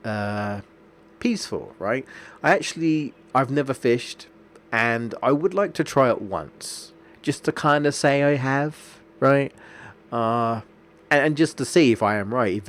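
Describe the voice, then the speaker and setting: A man with a stuffy nose from a cold, chatting casually and rambling as he streams a game. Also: on the low side. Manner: rambling, chatty, restarts sentences often.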